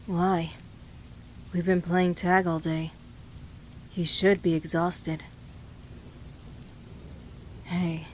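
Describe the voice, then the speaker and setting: A woman voicing a guarded but caring young boy in an audio roleplay, speaking softly and reassuringly to the listener. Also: slight background noise, lightly acted. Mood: questioning, concerned. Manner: low-key, flat, gently reassuring. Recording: steady rain and thunder behind voice. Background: rain and thunder.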